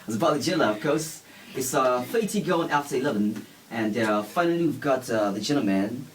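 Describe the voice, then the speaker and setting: A man's voice: medium pitch, lively intonation, a little fast. A man hosting a casual radio interview, rambling and laughing often. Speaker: radio host. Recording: distant mic with faint background noise.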